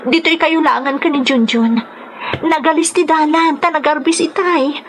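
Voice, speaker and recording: Female actor as caring grown daughter, Ilocano accent, high pitch, earnest soothing manner, radio drama, slight background noise. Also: fairly even intonation, normal speed, close to an everyday voice. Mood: concerned. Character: caring, dutiful grown daughter and young mother. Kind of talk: emotional radio drama acting.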